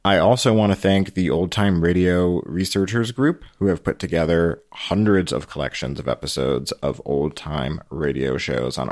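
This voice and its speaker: Very deep-voiced man hosting a podcast. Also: darkish tone, no echo, clean studio sound. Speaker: podcast host. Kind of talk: casual podcast talk.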